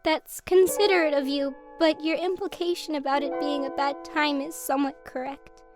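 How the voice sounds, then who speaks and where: A woman playing a timid, fragile, guilt-ridden youngster in an audio drama, with halting, delicate delivery. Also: high-pitched, steady tone, music in the background, lightly acted. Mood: guilty sorrow.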